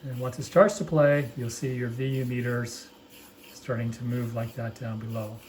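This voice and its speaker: A man casually demonstrating equipment off the cuff, explaining it in a halting, apologetic, easygoing way as he handles it. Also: mid-range voice, fairly even intonation, darkish tone, a little hiss or hum. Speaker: amateur reel-to-reel demonstrator. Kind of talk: casual unscripted product walkthrough.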